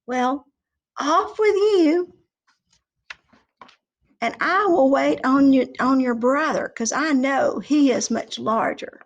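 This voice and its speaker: A hungry storybook troll, acted out by a woman issues menacing challenges from under a bridge. The delivery is slow and drawn out, in a female register that is fairly high. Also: highs cut off. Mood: grudging. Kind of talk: children's folk tale storytelling.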